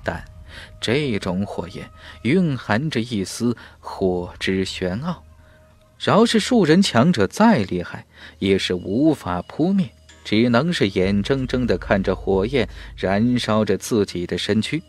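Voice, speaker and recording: Low-pitched, animated male audiobook narrator. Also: a little slow, no room sound. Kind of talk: dramatic scripted narration of a fantasy novel.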